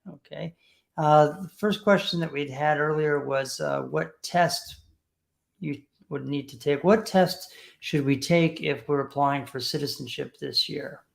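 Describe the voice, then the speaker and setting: A woman's voice: deep voice, unhurried, vocal fry. A woman co-hosting a live immigration Q&A stream, upbeat as she reads out viewer comments and questions. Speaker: immigration Q&A co-host.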